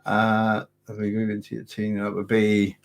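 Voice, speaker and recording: A man's voice: lowish voice, monotone, normal speed, gravelly, noisy background. A man hosting a late-night live science fiction Q&A, chatting loosely and correcting himself as he answers viewers' questions. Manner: rambling, self-correcting, conversational. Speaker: host of a science fiction channel.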